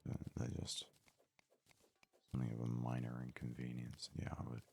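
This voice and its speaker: A man giving soft-spoken, casual ASMR commentary while playing a video game, muttering in a hushed voice close to the mic. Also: rumbling low, completely flat delivery, normal speed, vocal fry, breathy edge. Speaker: gaming video host.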